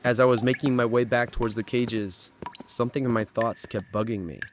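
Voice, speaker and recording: A man's voice: mid-range voice, steady tone, brisk, noisy background, lightly acted. A man playing an anxious teenage boy lost in a nightmare world, in a horror audio drama. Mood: uneasy narration. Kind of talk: horror audio drama acting and first-person narration.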